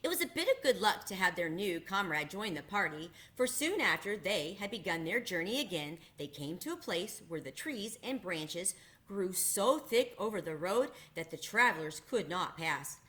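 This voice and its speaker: Woman reading a kids' book aloud, expressive, bright voice. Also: on the low side, moderate pace. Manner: expressive storytelling. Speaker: reader.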